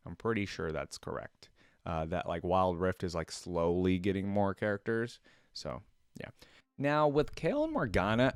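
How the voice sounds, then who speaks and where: A man's voice: on the low side, clear, resonant tone, no echo, clean studio sound. A man hosting a reaction video in a casual, off-the-cuff way, rambling as he thinks aloud. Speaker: host of a reaction video channel.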